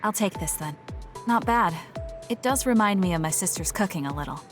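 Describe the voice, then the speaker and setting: A woman's voice: mid-range voice, lively intonation, fairly quick, lightly acted. An actress playing a stern, reserved but caring young female soldier in a video game, with a cool, matter-of-fact delivery. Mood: reserved, softened. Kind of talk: video game English voice acting. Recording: game music behind the voice. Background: game music.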